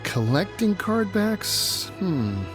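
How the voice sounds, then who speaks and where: A man's voice: sits high, big pitch swings, takes it slowly, over music, full character voice. A man voicing an easygoing, dreamy harbor local in a video game, speaking in a laid-back, musing way. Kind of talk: animated video game voice acting.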